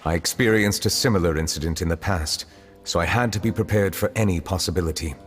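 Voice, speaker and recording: A man voicing a formal, solemn, ancient chief justice in a video game, speaking in a measured, restrained way. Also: deep voice, long reverb tail, soft background music, lightly acted. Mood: calm.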